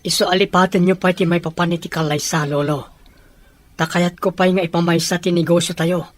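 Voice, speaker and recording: A man's voice: high voice, steady tone, brisk, close to an everyday voice. A young man playing a firm grandson and company boss in an audio drama. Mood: reasoning. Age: young adult. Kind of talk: Ilocano audio drama dialogue.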